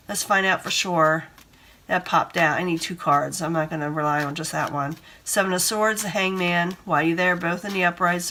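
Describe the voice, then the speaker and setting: A woman reading tarot cards in a casual, rambling way, hoarse from a cold and breathing hard. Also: lowish voice, sharp tone, slight background noise. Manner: rambling, repeats herself, gives advice. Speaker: tarot reader.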